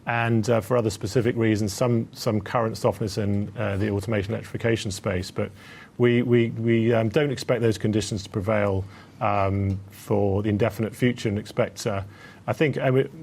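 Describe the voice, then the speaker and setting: A man speaking as a company executive, answering analysts on an earnings call in a hesitant, halting, measured way. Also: fairly low pitch, vocal fry, a little mellow, a little hiss or hum.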